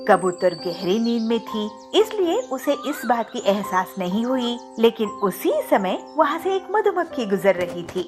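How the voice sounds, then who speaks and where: A woman reads children's stories in a scripted storytelling style. Her voice is fairly high with lively, animated intonation, and music plays clearly behind it.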